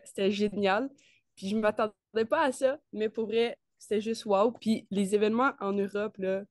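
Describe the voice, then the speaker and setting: A woman's voice: mid-range voice, a little mellow, dry recording. A woman of nineteen with a Quebec French accent, chatting casually and enthusiastically as an interview guest in colloquial speech. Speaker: interview guest, network marketer. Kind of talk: casual chat.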